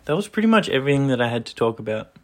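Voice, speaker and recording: A young man with a mid-pitched voice talks informally with a friend. His delivery is loose and rambling, like a homemade chat podcast. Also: sing-song, very fast. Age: young adult. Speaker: podcast co-host.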